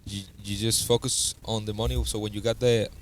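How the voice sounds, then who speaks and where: A man with a Spanish accent hosting a podcast, searching for English words in a halting, friendly way. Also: lowish voice, flat delivery, slight background noise. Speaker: podcast host. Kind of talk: casual interview in a second language.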